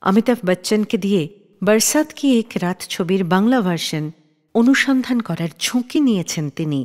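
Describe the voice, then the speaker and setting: Woman narrating in long reverb, lively pitch. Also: moderate pace. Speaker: radio show host. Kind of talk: scripted radio storytelling narration about film history.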